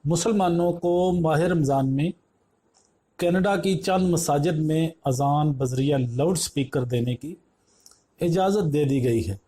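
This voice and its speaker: Male news narrator, fairly high voice with a soft mellow tone, formal measured delivery.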